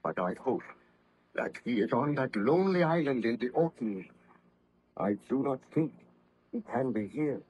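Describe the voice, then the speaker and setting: An actor playing an anguished, haunted baron in melodramatic 1930s radio style, with halting, fervent delivery. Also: male voice, mid-range voice, lively intonation, darkish tone, breathy edge. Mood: anxious resolve. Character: anguished, haunted young baron hunted by his monstrous creation. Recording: muffled vintage radio drama recording.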